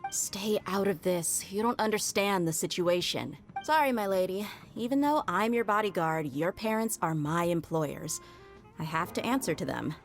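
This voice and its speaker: A woman's voice: on the low side, animated, normal speed, soft background music. An actress playing a tough, principled woman mercenary bodyguard in a game, blunt and casual in manner. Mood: firm. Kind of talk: game voice acting.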